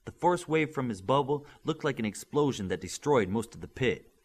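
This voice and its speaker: A man reading an audiobook aloud, with steady, expressive storytelling. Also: medium pitch, moderate pace, breathy edge. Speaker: audiobook reader. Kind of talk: audiobook narration.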